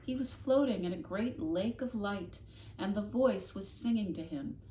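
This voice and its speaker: Woman, expressive storybook narration, deliberate dramatic emphasis, reverberant room, faint background noise. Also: medium pitch. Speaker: storybook reader, classroom host. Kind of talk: expressive read-aloud narration of a children's novel.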